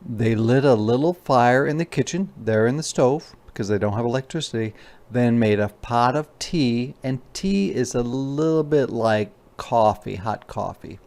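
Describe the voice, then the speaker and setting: A man's voice: mid-range voice, a little mellow. A man reading a children's storybook aloud as a teacher, with informal, explanatory asides in simple words.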